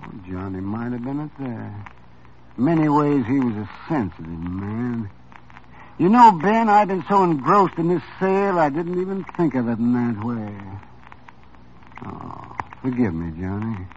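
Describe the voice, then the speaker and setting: A man's voice: medium pitch, big pitch swings, unhurried, a little mellow. A gushing, theatrical cologne seller, whose voice swoops up and down as he fusses over a customer. The sound is muffled, like an old radio broadcast, with a faint hiss under it. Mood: effusive. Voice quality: gushing, showy. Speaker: shop owner. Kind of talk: 1950s radio drama acting.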